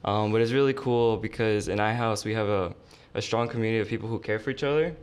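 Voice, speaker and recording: A man's voice: lowish voice, quick pace, clear, resonant tone. A young man from Bolivia, a graduating student, answering in an easygoing way on a studio mic. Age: young adult. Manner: easygoing, light laughs. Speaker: student guest. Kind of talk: casual interview answers. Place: studio room. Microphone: studio mic on boom arm.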